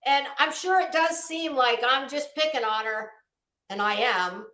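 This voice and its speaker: High, bright, echoey woman commentator. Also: unhurried. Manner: scornful, blunt, emphatic. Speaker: YouTube commentary show host. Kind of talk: casual critical commentary on a video.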